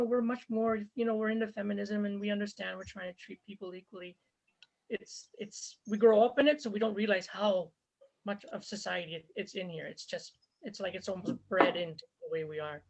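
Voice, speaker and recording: A woman raised in Canada and born in Zambia, speaking earnestly and conversationally as an interview guest, with frequent fillers. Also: mid-range voice. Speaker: interview guest, former volunteer fighter. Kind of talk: casual spoken interview. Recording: dull lo-fi recording.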